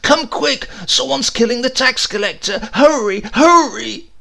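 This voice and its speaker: A man's voice: very high-pitched, lively intonation, unhurried, gravelly, bright, forceful tone, pitched above the performer's own voice, heavily acted. A man voicing an old rural postman in a storybook tale, naive, earnest, urgent and bewildered. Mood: panicked.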